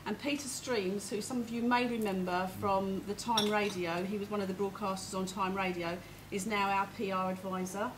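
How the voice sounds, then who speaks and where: A woman's voice: medium pitch, steady tone, normal speed, hardly any pauses. A woman with a big, carrying voice giving a chatty, informal update to supporters as a hospice representative. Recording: noisy room ambience.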